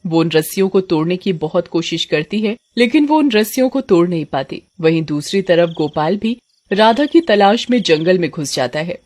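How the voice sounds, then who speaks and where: A woman's voice: lowish voice, lively intonation, moderate pace, darkish tone. A woman giving scripted story narration for a cartoon.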